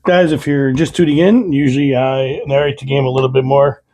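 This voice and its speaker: A man's voice: medium pitch, fairly even intonation, brisk, a little mellow, slight background noise. A man hosting a tabletop game, talking casually through moves and dice rolls while losing his voice. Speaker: host.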